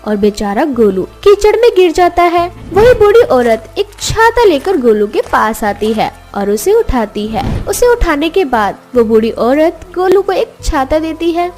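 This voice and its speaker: A woman's voice: high-pitched, big pitch swings, measured pace, few pauses, darkish tone, soft background music. A woman narrating a scripted children's story as the storyteller.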